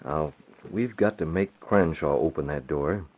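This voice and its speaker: An actor playing a confident young publisher and secret crime-fighter, calm and commanding, in old-time radio drama style. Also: male voice, very deep voice, a little slow, heavily acted. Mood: calm, determined. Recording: muffled old radio broadcast.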